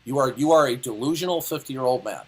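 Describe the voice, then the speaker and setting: A man's voice: mid-range voice, fast, husky. A man in his late fifties arguing in a heated debate, lecturing and interrupting in a condescending way. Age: 50s. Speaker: debate caller.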